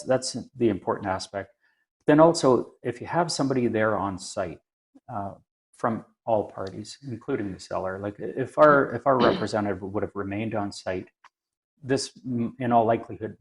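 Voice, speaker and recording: A man talking through technical points in a conversational, measured and halting way, like an experienced expert thinking aloud. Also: on the low side. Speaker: aviation maintenance engineer and inspector, podcast regular. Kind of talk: conversational explanation.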